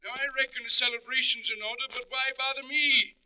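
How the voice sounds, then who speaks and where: An actor playing an irritable, sceptical judge woken from sleep in a 1940s radio drama. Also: male voice, extremely high pitch, level tone, fairly quick. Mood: grumpy, doubtful. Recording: dull, distant telephone-like vintage radio sound.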